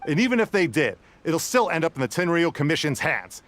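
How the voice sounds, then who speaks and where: Game guard: hard, suspicious, blunt, widely swinging intonation. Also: male voice, a little high, sing-song, brisk, fairly bright tone. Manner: scornful, blunt. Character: hostile, suspicious male guard, scornful and hard.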